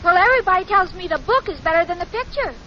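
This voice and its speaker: Very high-voiced ditzy young secretary. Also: female voice, expressive, fast. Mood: earnest. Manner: naive, earnest, dim-witted. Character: sweet, scatterbrained young female secretary, cheerfully oblivious. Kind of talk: 1940s radio comedy acting. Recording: noisy, dull 1940s radio recording, audience.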